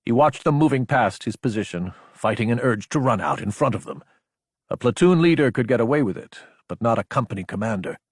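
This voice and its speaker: A man reading a dramatic audiobook narration in a measured, deliberate storytelling manner. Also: medium pitch, slightly rough, no echo, studio quality.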